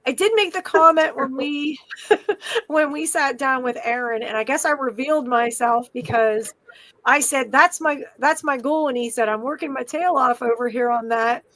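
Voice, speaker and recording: A woman's voice: sits high, normal speed, fairly bright tone, no echo, poor audio. Emphatic middle-aged woman advocate. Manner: passionate, emphatic, repeats her points. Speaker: child welfare advocate. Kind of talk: podcast conversation.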